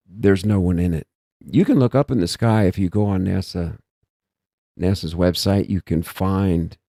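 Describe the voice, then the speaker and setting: Low gravelly man musing aloud. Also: warm tone, no echo, clean studio sound. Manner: meandering, self-interrupting, musing aloud. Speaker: host. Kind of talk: rambling casual monologue.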